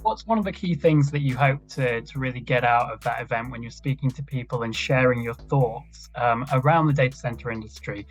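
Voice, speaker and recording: A man's voice: mid-range voice, fairly quick, hardly any pauses. A man interviewing a guest, asking questions in a hesitant way with many restarts.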